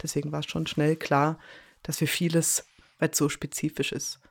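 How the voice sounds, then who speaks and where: A woman's voice: low voice, flat delivery, normal speed, creaky, no echo. Female radio drama director as interview guest, conversational storyteller, close dry recording.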